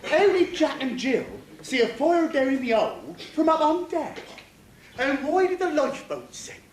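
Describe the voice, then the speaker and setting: Woman playing a high-voiced cheeky pantomime sidekick. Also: big pitch swings, measured pace, a little mellow. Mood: chatty. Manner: jokey, colloquial. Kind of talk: stage pantomime acting. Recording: echoey noisy hall.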